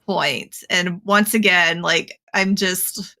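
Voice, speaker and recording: A woman on a casual podcast, talking enthusiastically about the games she is playing, rushing ahead in quick bursts. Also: fairly low pitch, steady tone, bright, forceful tone. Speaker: podcast co-host.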